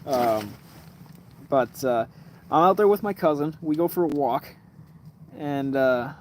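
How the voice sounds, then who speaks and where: A man's voice: fairly high pitch, takes it slowly, a little mellow, slight background noise. A man in his twenties telling rambling stories on a casual livestream, swearing, with lots of fillers and restarts. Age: 20s. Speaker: livestream host. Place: room lit by kerosene lamp at night.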